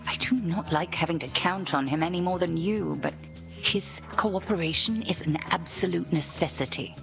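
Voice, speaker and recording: A woman voicing a stern, commanding headmistress witch in a game, speaking in clipped orders with a gravelly voice, over game music. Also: lowish voice, moderate pace, fairly bright tone. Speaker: headmistress and mentor. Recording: game dialogue with music behind.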